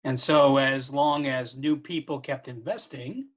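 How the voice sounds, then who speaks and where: An actor playing an informal, energetic event planner and old pal in a comedy radio play. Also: male voice, mid-range voice, measured pace. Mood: breezy, wry. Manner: casual, energetic.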